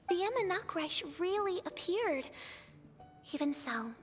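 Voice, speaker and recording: A woman's voice: high-pitched, in a large hall, lightly acted. A woman voicing a theatrical teenage self-styled princess in a video game, speaking in a grandiose, archaic, declamatory way. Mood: reflective. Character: theatrical teenage girl styling herself a fantasy princess. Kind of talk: video game character acting.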